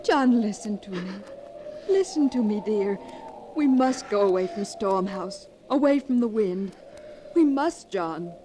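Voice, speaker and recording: An actress playing an affectionate but uneasy wife, earnest and refined, in a 1940s radio drama. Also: female voice, medium pitch, lively intonation, unhurried, warm tone, close to an everyday voice. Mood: anxious, tender. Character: anxious, affectionate young wife of a painter. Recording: faintly noisy 1940s radio recording.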